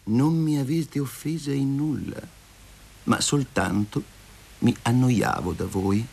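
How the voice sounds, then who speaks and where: A man voicing a weary, stubborn woman in a story, speaking quietly and deliberately as she leaves her lover. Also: medium pitch, creaky, husky, heavily acted. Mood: calm firmness.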